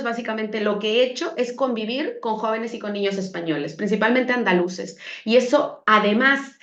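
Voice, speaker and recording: A middle-aged woman with a Mexican accent, an academic giving a conversational, reflective presentation. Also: on the high side. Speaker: academic, conference speaker. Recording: echoey room, muffled dull audio.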